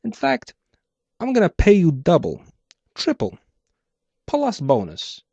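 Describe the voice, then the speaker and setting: A man voicing a theatrical, gloating clockwork gangster boss with taunting, drawn-out phrasing in a noir audiobook. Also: high-pitched, wildly swooping pitch, creaky, darkish tone, breathy edge, no room sound, highs cut off, voice pushed up, full character voice. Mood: smug, magnanimous. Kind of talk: dramatic audiobook character voice, noir pulp.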